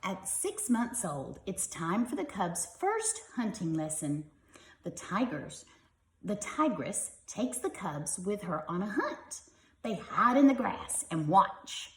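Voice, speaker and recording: A woman's voice: mid-range voice, very animated, slow pace, reverberant. A woman reading a picture book aloud to young children like a teacher, expressively and with simple explanatory asides.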